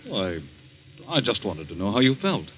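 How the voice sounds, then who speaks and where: A cool, aloof husband with a low voice and lively inflection. The acting is old radio drama, heard on a muffled vintage recording. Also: male voice, moderate pace. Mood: cool, questioning. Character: aloof husband, a writer, questioning his wife.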